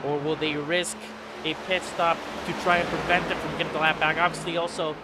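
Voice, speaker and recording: A man commentating a sim race play-by-play. He sounds a little stuffy from being sick, and he gets excitable at restarts and wrecks. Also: fairly high pitch, fairly quick, heavy background noise.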